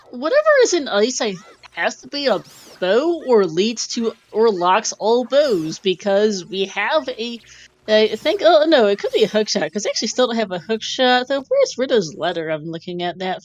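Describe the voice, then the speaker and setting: A woman doing casual live commentary on a game tournament, chatty and quick to laugh as she reads out clues. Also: a little high, animated, dull audio.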